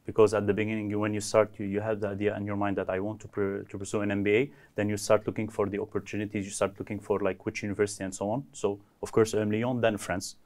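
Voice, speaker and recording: A man from Lebanon, an engineer answering interview questions in a measured way, often restarting phrases. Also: fairly low pitch, little pitch movement, a little mellow. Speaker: MBA graduate, engineer.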